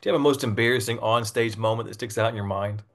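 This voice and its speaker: A man hosting an interview, asking questions in a friendly, easygoing way with quick affirmations. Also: lowish voice. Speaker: interviewer host. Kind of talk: casual interview.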